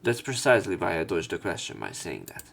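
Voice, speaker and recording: A man's voice: sits low, huge pitch swings, fast talker, creaky, a bit bright, faint music behind. A man reading visual novel narration aloud as a let's play narrator.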